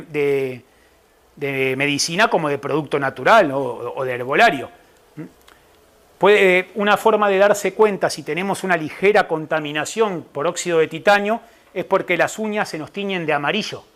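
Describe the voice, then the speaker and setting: A man's voice: a little high, fairly bright tone. A middle-aged man from Argentina with an Argentine Spanish accent, a nutritionist giving an informal talk to a live audience, explaining things step by step and checking in with the listeners.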